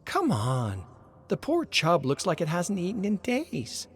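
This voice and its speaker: A man's voice: sits high, huge pitch swings, a little slow, breathy edge, pitched above the performer's own voice, heavily acted. A man voicing a watchful, uneasy young woman hiker in a horror story, with a wary delivery. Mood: pleading.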